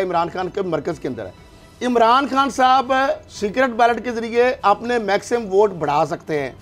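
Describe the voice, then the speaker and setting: Man, high voice, Pakistani accent, emphatic political debate, argumentative, music behind. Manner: emphatic, argumentative, rhetorical questions. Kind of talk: heated political discussion, interjecting.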